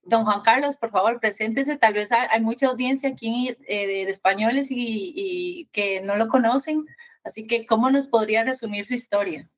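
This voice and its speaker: A woman's voice: a little high, normal speed, low-quality recording. A woman with a Costa Rican accent hosting a podcast, asking questions in a friendly conversational way. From Costa Rica. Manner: friendly, conversational, with short affirmations. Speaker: podcast host. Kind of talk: casual interview. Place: home in Barcelona.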